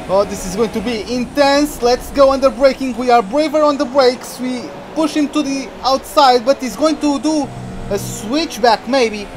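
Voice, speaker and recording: A man from Portugal, streaming a racing game and chatting excitedly through the action. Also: extremely high pitch, background noise. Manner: chatty, reacts excitedly to the race. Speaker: gaming streamer. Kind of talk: live game commentary.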